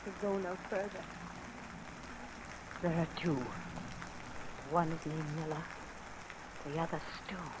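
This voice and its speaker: An actress playing a proud, anguished older widow in a 1950s American radio drama, with formal, clipped, haughty diction. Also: female voice, deep voice, steady tone, takes it slowly, long pauses. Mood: anguished, resigned. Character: proud, anguished older widow guarding her family's honour. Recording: old radio recording under rain noise.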